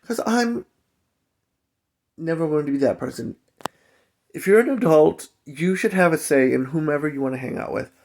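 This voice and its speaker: A man's voice: on the high side, animated, normal speed, pauses often, a little mellow. A man telling a personal story in a casual, chatty, conversational vlog style with emphatic asides. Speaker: storytelling vlogger.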